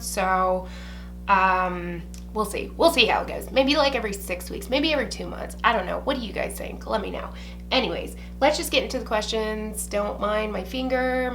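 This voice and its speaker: A woman's voice: mid-range voice, a bit bright. A young woman from Ohio, chatting to camera in a blunt, casual way and swearing freely. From Ohio, USA. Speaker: beauty vlogger. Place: small indoor room with shelves behind.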